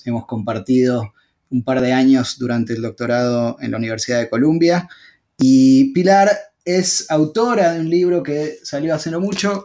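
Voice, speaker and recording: A man with a lilt who hosts a podcast and interviews a guest. His pitch is average for a man. He speaks in a relaxed way and pauses now and then on fillers. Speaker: podcast host and sociologist. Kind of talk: conversational interview.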